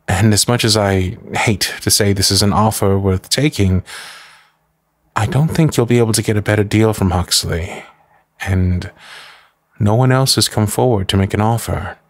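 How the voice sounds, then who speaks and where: A low male voice, recorded close and dry, an actor as a noble lady's loyal manservant in a roleplay drama. He is formal and measured, deferential to his mistress, with a protective, drily disapproving edge. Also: dry recording, lightly acted. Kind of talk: scripted ASMR roleplay acting.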